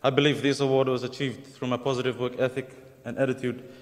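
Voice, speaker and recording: A man's voice: mid-range voice, flat delivery, fast, big-room sound. Young Fijian man giving an acceptance speech. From Fiji. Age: young adult. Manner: formal and earnest, with light humor. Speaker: award recipient. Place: large hall at an awards ceremony.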